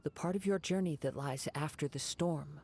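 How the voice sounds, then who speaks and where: A man voicing a calm young male wandering samurai in an ASMR fan voice-over of game lines, speaking softly and in a measured way. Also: on the high side, gravelly, a little hiss or hum.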